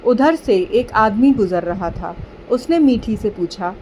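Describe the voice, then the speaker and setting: A woman's voice: fairly high pitch, animated, measured pace, a little mellow, a little hiss or hum. A woman giving scripted narration of a children's story.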